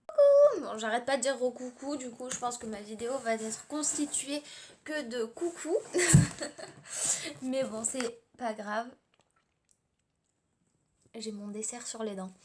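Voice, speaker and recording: A tired, slightly hoarse young woman, rambling casually through a home vlog on her tablet, full of fillers and restarts. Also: a little high, darkish tone. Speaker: vlogger. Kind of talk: casual daily vlog chat. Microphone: tablet camera.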